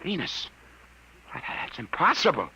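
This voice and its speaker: An actor playing an agitated, streetwise private detective in a vintage radio drama. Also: male voice, on the high side, huge pitch swings, measured pace, creaky, pushed and bright, full character voice. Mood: incredulous. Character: desperate, hard-boiled male private detective. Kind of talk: 1950s radio drama acting. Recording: noisy vintage radio recording.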